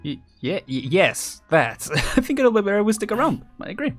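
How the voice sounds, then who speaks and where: A man's voice: high voice, big pitch swings, a little fast, faint music behind. A man streaming a video game with casual, hesitant, chuckly running commentary.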